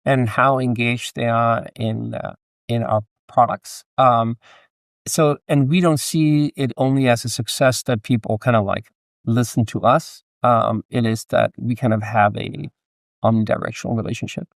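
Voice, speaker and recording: A man with a Danish accent chats as a guest on a podcast. His mid-range voice moves haltingly through casual, hedged explanations. The sound is dry, with little room around it. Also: mid-range voice, steady tone, vocal fry, no echo. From Denmark. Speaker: podcast guest, entrepreneur and author. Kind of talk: casual interview chat.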